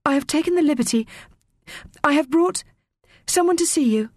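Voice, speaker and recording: A woman's voice: high-pitched, normal speed, no room sound, studio quality, pitched above the performer's own voice, lightly acted. A woman voicing a timid, anxious young girl in a storybook, hesitant and awkward. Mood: nervous.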